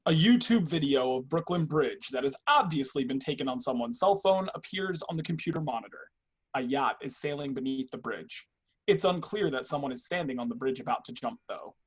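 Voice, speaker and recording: A man's voice: mid-range voice, lively intonation, a little fast, breathy edge. A man reading stage directions as scripted narration for a play on a video call.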